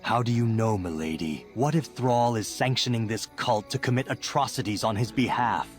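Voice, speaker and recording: A man's voice: medium pitch, moderate pace, soft background music, close to an everyday voice. A man playing a scheming, bitter human chamberlain in a fantasy game, formal and self-righteous. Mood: formal suspicion. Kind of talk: fantasy game cutscene monologue acting.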